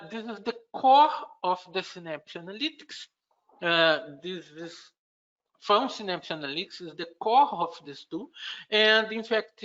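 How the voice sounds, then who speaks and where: A man with a Brazilian Portuguese accent walks a remote audience through a technical topic, pausing often with "uh" and restarting phrases. He talks slowly, and his voice has a bright, forward edge. The recording is a bit dull and lo-fi. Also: high voice. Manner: unhurried, halting explanation with frequent "uh" fillers and restarts. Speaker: conference speaker. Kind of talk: technical conference presentation.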